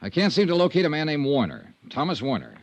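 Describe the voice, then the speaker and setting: An actor playing a steady, probing insurance investigator in a 1950s radio detective drama, with terse, measured questions. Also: male voice, fairly high pitch, dry recording. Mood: worried, earnest. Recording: dull vintage radio recording.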